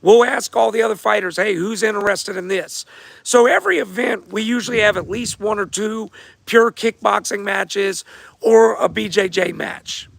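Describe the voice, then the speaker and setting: Host, male, hoarse and gravelly, higher-pitched, laid-back chat to viewers. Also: normal speed, fairly bright tone, dry recording. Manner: laid-back, repeats himself, talks straight to viewers. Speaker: host of a fight show answering viewer questions. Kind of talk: casual livestream chat.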